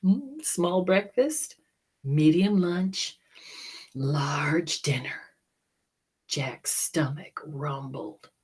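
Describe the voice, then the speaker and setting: A woman reading a children's story aloud in a dramatic, deliberate way, with hushed moments. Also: deep voice, husky. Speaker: storybook reader.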